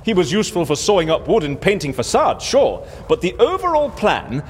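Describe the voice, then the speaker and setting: Eccentric old adventurer, breezy and boastful, kinda high lively voice, echoey hall. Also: male voice, fairly quick. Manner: breezy, self-assured, theatrical. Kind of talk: audio fiction drama acting. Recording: echoey reverberant hall, background noise.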